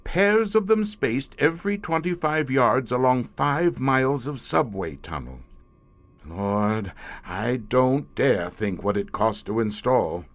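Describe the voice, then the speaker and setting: An actor playing a middle-aged police inspector, a former professor haunted by a secret horror, brooding and theatrical in an old-time radio horror drama. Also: male voice, mid-range voice, animated, slow pace, no room sound. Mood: explaining, wry.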